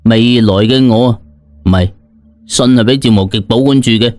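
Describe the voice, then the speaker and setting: Expressive male storyteller over music. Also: medium pitch, moderate pace, dry recording. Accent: Cantonese. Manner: expressive, slangy, dramatizes dialogue. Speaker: audiobook storyteller. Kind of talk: colloquial scripted story narration, first person. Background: background music.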